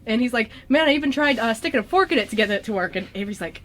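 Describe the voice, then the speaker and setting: A young woman hosting a casual radio chat, giggly and animated, often acting out bits of dialogue. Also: fairly high pitch, racing. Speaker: radio show host.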